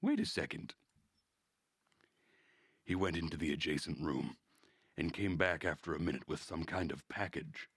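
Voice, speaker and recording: A man's voice: very deep voice, moderate pace, fairly bright tone, breathy edge, dry recording, studio quality. A man streaming a visual novel, reading it aloud as narrator with wry, casual asides.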